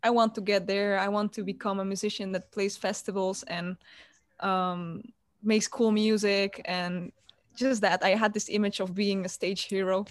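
A woman's voice: medium pitch, little pitch movement, normal speed, dry recording, studio quality. Woman guest on a video call. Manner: chatty, hesitant restarts, warm about family. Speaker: guitarist guest. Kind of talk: casual interview over video call. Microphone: computer on video call.

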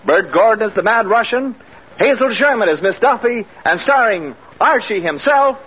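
A man's voice: sits high, lively intonation, moderate pace, husky. A man announcing a 1940s radio show and reading its sponsor copy in an emphatic, declamatory style. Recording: muffled, fuzzy old radio broadcast.

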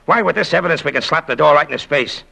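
A dogged American official in a vintage radio drama. His voice is low, raspy and sharp, with a blunt, hard-nosed delivery. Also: normal speed. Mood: pushy, brusque. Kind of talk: old radio drama acting.

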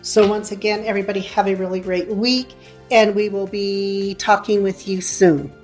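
A woman's voice: mid-range voice, fairly even intonation, moderate pace, a little mellow, faint music behind. Chatty, encouraging woman host. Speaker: show host. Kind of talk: interview chat.

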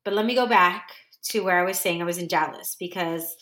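A woman's voice: lowish voice, fairly quick, bright, forceful tone, roomy sound. A woman from San Diego hosting a podcast, talking in a rambling, earnest way and often correcting herself. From San Diego, California. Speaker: podcast host. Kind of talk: casual solo monologue.

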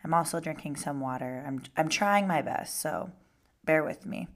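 Raspy, low-voiced young woman podcaster. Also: normal speed. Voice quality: raspy, hoarse today. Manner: chatty, lots of "like" fillers. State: hoarse from singing and screaming. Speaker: podcast host. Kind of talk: casual solo podcast talk.

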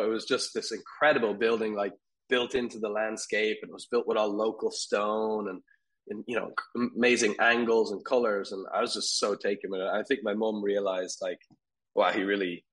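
A man in his thirties, from Ireland, talking casually as an interview guest, rambling with fillers and soft laughs. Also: on the low side, fairly even intonation, fairly quick, low-quality recording. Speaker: guest, furniture company CEO.

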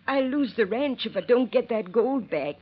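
A woman's voice: fairly high pitch, lively intonation, moderate pace. An actress playing a distressed, kindly old lady ranch owner in an old-time radio western. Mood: distressed, trusting. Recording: muffled old radio recording, crowded background.

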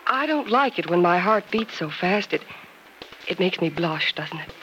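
A woman's voice: fairly low pitch, lively intonation, normal speed, close to an everyday voice. Actress as a coy young woman, noisy recording. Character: coy, frank young nurse on a date. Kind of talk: old-time radio drama acting. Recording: very noisy vintage radio recording.

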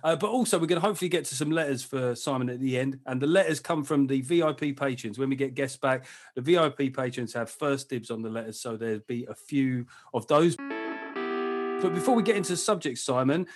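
A man's voice: medium pitch, fairly quick, soft background music. A man hosting a podcast in casual chat, sardonic, teasing and freely profane. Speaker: podcast host.

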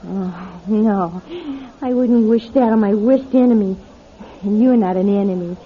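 An actress playing a friendly, streetwise young go-go dancer in a classic radio drama, with breezy, slangy talk and drawn-out words. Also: female voice, medium pitch, husky. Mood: bubbly, friendly. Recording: muffled old radio drama recording.

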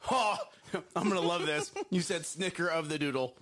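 A man co-hosting a casual live morning show, excitable and jokey, laughing often. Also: fairly high pitch, normal speed. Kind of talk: casual live-stream chat.

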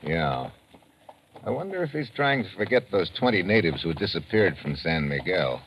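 An actor playing a brisk, tough, wry American investigator in an old radio drama. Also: male voice, low voice, sing-song, slight background noise. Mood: probing, urgent. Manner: brisk, informal, clipped. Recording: muffled old radio recording, loud music.

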